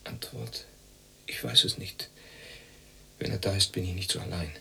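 A man's voice: low voice, moderate pace, creaky, pushed and bright, slight background noise, full character voice, low-quality recording. An actor playing a lonely, brooding man in a radio drama monologue, clipped and introspective as if interrogating himself.

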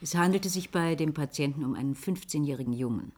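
An actress playing a serious, cautious female chief physician in a German radio drama, sober and measured. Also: low-pitched, a little mellow, breathy edge. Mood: grave.

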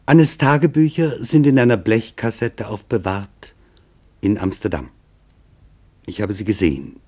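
An actor playing a grave, measured chronicler, narrating a German radio drama in a solemn, deliberate way. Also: male voice, lowish voice, darkish tone. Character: grave, measured male chronicler reporting witness testimony.

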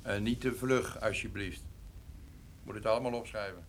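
An actor playing a stern official investigator in a radio drama, speaking in a formal, pressing way. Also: male voice, fairly low pitch, steady tone, moderate pace, a little hiss or hum, natural voice. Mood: stern, precise. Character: stern, official male investigator seeking a report.

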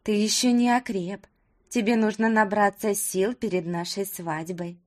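A woman's voice: mid-range voice, fairly even intonation, a little slow, natural voice. A woman voicing a tender, insistent young village woman with an obsessive love, in an audiobook story.

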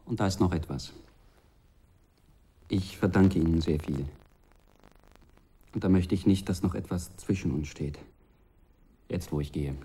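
An earnest young man in a German radio drama. His voice is fairly low, soft and husky with a dark, relaxed tone, and he speaks politely and haltingly. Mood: hesitant, earnest. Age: young adult. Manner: hesitant, courteous, halting.

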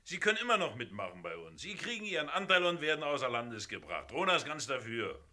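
An actor playing a sly, cheeky turncoat crook in a radio crime drama, insinuating and mockingly respectful. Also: male voice, mid-range voice, big pitch swings, quick pace, sharp tone. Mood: sly, unrepentant.

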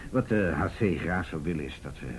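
A man acting in a radio drama as a droll, easygoing police officer, deadpan and teasing with hesitant pauses. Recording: noisy rumbly radio drama recording.

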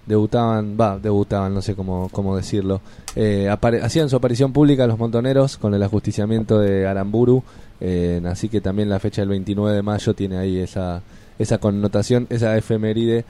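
A man co-hosts a radio talk show in a low, very dark, mellow voice. He speaks casually and pauses often with fillers, restarting phrases as he looks for the right word. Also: fairly even intonation. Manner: hesitant, many fillers and restarts while explaining. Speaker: radio co-host.

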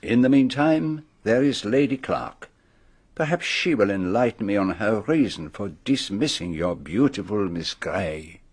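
A shrewd older Belgian detective in a radio drama, with a French-speaking Belgian accent. His formal, measured speech rises and falls in a lively way, and the voice is slightly husky. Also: fairly low pitch. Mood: pensive.

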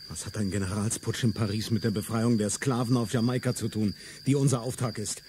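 A man's voice: on the low side, fairly even intonation, brisk, noisy background, lightly acted. A man playing a fierce, declamatory revolutionary. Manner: solemn, declamatory delivery. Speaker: radio drama actor. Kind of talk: stage-like radio play acting.

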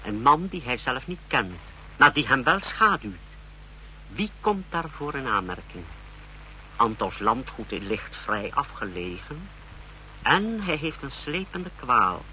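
An actor playing an eager male officer reporting to his superior in a vintage radio drama, brisk and deferential. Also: medium pitch, sing-song, sharp tone, slight background noise, full character voice, low-quality recording. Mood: scheming, deferential.

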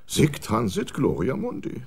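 A man's voice: mid-range voice, huge pitch swings, measured pace, gravelly, a little mellow, breathy edge, heavily acted. An actor playing an evasive, self-pitying middle-aged director who has lost his post, theatrical and dismissive, in a German radio drama.

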